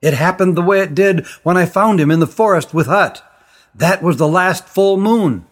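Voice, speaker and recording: A man voicing an eager young heroine in a storybook, talking in excited, breathless exclamations. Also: sits high, normal speed, pitched above the performer's own voice.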